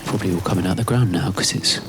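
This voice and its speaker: A British man giving an intimate spoken-word narration in a hesitant, musing way, in fragmented phrases with pauses. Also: sits low, noisy background. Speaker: writer-performer narrating his own piece.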